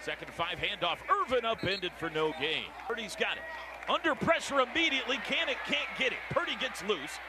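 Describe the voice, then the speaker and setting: A man's voice: very high-pitched, fairly quick. An American man calling live football play-by-play on the radio in clipped, urgent phrases. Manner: clipped, urgent running description. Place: outdoor football stadium. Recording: stadium radio broadcast, clearly noisy background. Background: steady stadium noise.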